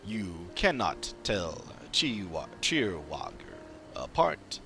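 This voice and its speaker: A man voicing a proud, solemn creature from a fantasy game, speaking in halting, simple phrases. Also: low voice, big pitch swings, vocal fry, music in the background, exaggerated voice. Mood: grave, thoughtful. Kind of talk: game dialogue voiced by a streamer.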